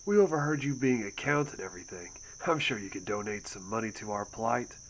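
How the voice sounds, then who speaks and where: A man's voice: fairly low pitch, animated, a little fast, a little hiss or hum, low-quality recording. A man playing a pushy young street thug in an audio roleplay, talking in informal slang. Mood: cocky, pushy.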